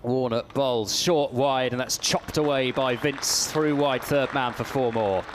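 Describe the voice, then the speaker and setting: A man's voice: mid-range voice, measured pace, a faint crowd, slight background noise. A man doing ball-by-ball radio cricket commentary, hesitant and stumbling over repeated words, with his voice climbing when a shot is played. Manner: rises sharply when the action picks up.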